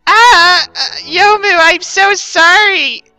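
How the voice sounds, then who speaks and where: A woman voicing a flustered, apologetic ghost mistress in a whiny, drawn-out, distressed manner for a story reading. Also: very high voice, sharp tone, faint music behind, full character voice.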